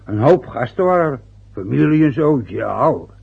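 Man acting as an overbearing mocking father, gravelly husky voice, dull lo-fi radio sound. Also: mid-range voice, animated, moderate pace, full character voice. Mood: domineering. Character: domineering boastful father, middle-aged man. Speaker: radio play actor.

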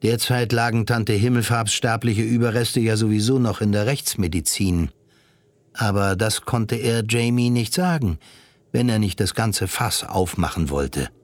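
A man narrating a literary radio drama in a measured storytelling way. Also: on the low side.

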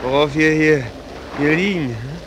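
An actor as a slow-speaking shipwrecked sailor, very noisy recording. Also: male voice, fairly high pitch, natural voice. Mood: dazed. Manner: halting, repeating words, stammering. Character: weakening shipwrecked sailor clinging to wreckage, afraid to die. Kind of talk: 1940s German radio play acting. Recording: noisy old 1940s radio recording.

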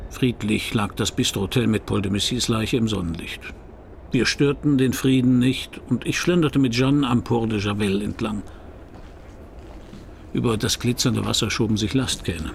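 An actor playing a wry, world-weary private detective who narrates a crime radio play in a dry, laconic, gravelly voice. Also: male voice, on the low side, fairly even intonation, moderate pace, slight background noise. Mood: wry narration.

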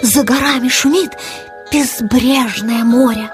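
A woman voicing a weary, modest, gentle butterfly in a children's audio play, with a plaintive, sighing, polite manner. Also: fairly high pitch, slow, music in the background. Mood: earnest.

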